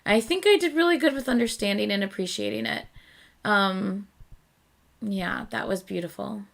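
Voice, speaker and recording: A young woman hosts a late-night reaction stream, talking easily with her viewers and laughing often. Her voice sits at a medium pitch and is starting to go, worn from hours of talking. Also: animated, normal speed, vocal fry. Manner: chatty, laughs often, answers chat. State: losing her voice. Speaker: reaction channel host. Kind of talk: casual livestream chat with viewers.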